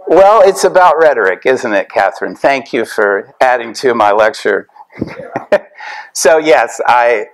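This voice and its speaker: A man's voice: on the low side, rough recording. Middle-aged man lecturing conversationally. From Tennessee, USA. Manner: conversational, animated. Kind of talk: lecture to a class. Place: hotel-style lecture room, indoors.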